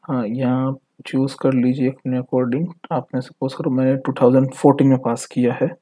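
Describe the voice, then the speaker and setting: A man's voice: medium pitch, level tone, moderate pace, dark tone, husky. A man with an Indian accent presenting an informal step-by-step tutorial in an explanatory, instructional way. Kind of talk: informal step-by-step screen tutorial, mixing in English phrases.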